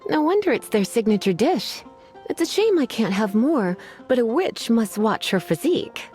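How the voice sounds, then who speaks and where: A woman's voice: on the high side, very animated, normal speed, darkish tone, faint music behind. An actress playing a languid, teasing witch librarian with a sultry, lazily flirtatious manner, in a video game. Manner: sultry, playful and lazily flirtatious, calls people cutie.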